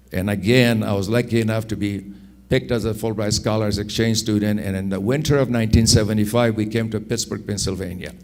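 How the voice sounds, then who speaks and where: Older guy in his 60s, afghan accent, lowish voice, telling his life story, takes his time. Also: darkish tone. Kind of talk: personal storytelling.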